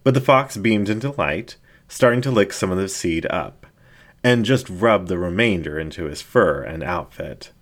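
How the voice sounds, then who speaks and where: A man's voice: sits low, expressive, moderate pace. A man reading a scripted story aloud as a dramatic narrator.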